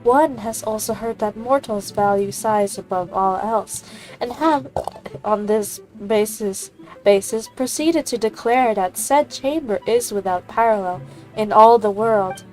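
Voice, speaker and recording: A woman's voice: medium pitch, a little slow, a little mellow, soft background music, natural voice, low-quality recording. A woman voicing a haughty, ancient female bird immortal from a fantasy game, with pompous, formal, stately phrasing.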